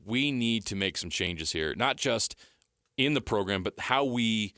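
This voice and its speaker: A man's voice: fairly low pitch, lively intonation, a little slow, bright, forceful tone, no echo, clean studio sound. A man hosting a sports radio show, steering the talk and asking a former player for his take. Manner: steers the talk, reads sponsor copy.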